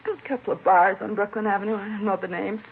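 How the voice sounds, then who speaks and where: An actress playing a sorrowful housewife questioned by police in a 1950s radio drama, answering plainly and quietly. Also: female voice, medium pitch, steady tone, fast, natural voice. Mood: subdued, wistful. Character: sorrowful housewife questioned about her murdered friend. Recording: tinny, faintly noisy vintage radio.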